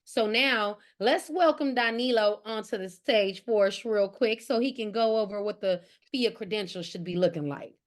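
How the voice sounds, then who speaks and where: A woman's voice: mid-range voice, normal speed, hardly any pauses. A woman facilitating a group training as an organizer, exhorting listeners and often checking that they are following. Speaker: organizer hosting a training session.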